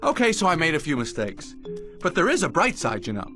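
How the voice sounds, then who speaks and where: A young cartoon bee hero with a high, bright voice. He is earnest and plucky, talking in casual wisecracks with lively swings of pitch. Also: brisk, faint music behind, heavily acted. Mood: sheepish, earnest. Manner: casual, stammering, self-deprecating quips.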